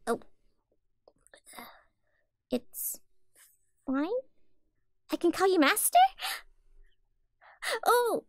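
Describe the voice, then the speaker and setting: A sweet little catgirl for a roleplay audio, timid and childlike. The voice is high and creaky at the edges, recorded very dry. She speaks hesitantly, stammering as she tries to please. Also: no room sound, heavily acted. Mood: grateful, shy.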